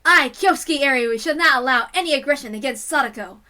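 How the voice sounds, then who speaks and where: A woman's voice: high-pitched, lively intonation, brisk, sharp tone. A woman voicing a pompous, earnest male coach from an anime visual novel, declaiming grandly. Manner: grandly declamatory, self-important. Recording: music behind the voice.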